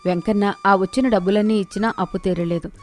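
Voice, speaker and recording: A woman's voice: medium pitch, steady tone, fast talker, a little mellow. Woman narrating a moral story over music.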